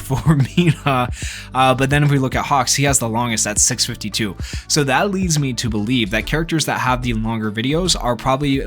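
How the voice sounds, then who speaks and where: A man with a mid-pitched voice delivers gaming news in the casual style of a YouTuber. He talks informally and speculatively, rambling through his thoughts as he goes. Music plays behind his voice. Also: a bit bright. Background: background music.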